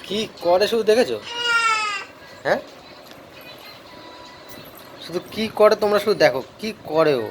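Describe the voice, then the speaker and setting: A man's voice: high voice, lively intonation, a little slow, long pauses, noisy background. A man talking casually to viewers in a home vlog, often repeating short phrases. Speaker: family vlogger. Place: at home with a small child.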